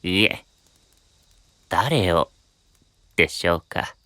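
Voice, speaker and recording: A sly, inquisitive young man, courteous on the surface and teasing underneath. His bright voice swoops expressively and sounds close and dry, in anime audio drama style. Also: low-pitched, animated, drawn-out words, no echo, exaggerated voice. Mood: probing, cool. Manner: polite, teasing, insinuating.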